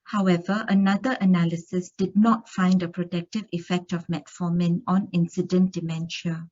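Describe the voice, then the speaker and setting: A woman from Singapore delivers an academic lecture in a conference room, her voice sitting a little low. She works steadily through study findings, stumbling now and then on a filler or a restart. The recording is dull and slightly lo-fi. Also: little pitch movement, normal speed, a little mellow.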